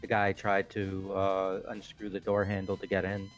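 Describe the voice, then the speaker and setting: Low, even-toned man chatting. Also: moderate pace, faint music behind. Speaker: player in a group playthrough. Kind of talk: casual group discussion.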